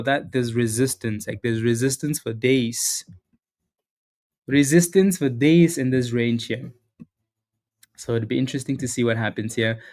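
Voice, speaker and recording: A man with a mid-range voice hosts a live trading stream in a loose, upbeat way. He repeats himself and calls viewers by name, and he sounds a little hoarse, clearing his throat now and then. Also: mid-range voice, a little mellow. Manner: casual, upbeat, repeats phrases, greets chatters by name. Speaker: stock trading livestream host. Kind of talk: live market commentary with chat shout-outs.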